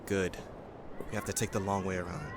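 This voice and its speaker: A man's voice: sits low, flat delivery, fairly quick, noisy background, natural voice. A man playing a guarded, stern young survivor in an audio drama, serious, terse and unamused. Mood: stern, exasperated.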